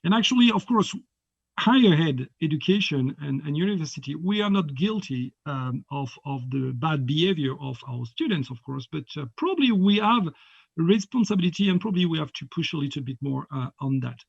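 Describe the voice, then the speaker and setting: A middle-aged man with a French accent gives a halting online lecture over a video call, often repeating words. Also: on the high side, very animated, vocal fry, slightly rough, no echo. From France. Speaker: guest lecturer. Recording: dull, muffled video-call audio.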